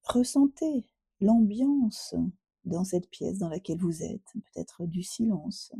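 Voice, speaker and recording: A woman yoga teacher guiding a relaxation meditation in a soothing, unhurried way with gentle pauses. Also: mid-range voice, animated, creaky, slightly rough, no room sound, studio quality.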